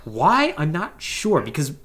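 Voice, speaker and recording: A man's voice: a little high, a little slow, husky. A man hosting a podcast, giving a casual, conversational recap of a story. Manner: animated, conversational, acting out paraphrased dialogue. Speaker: podcast host.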